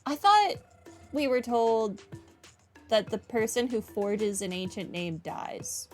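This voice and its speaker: A woman's voice: fairly high pitch, lively intonation, slow, soft background music. A woman gaming streamer giving casual playthrough commentary in a wry, questioning way. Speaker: gaming streamer playing through a story.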